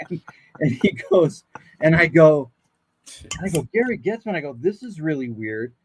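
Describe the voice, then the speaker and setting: A man's voice: a little high, very animated, a little slow, husky, no room sound. An older American man, a voice actor appearing as a guest, tells casual anecdotes and acts out the quoted dialogue in them.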